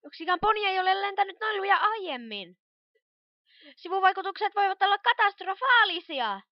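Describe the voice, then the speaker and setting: A woman voicing a dramatic, excitable young female pony in an amateur cartoon fan dub. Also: extremely high pitch, no echo. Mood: alarmed, urgent. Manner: exclamatory, dramatic delivery. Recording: dull lo-fi home recording.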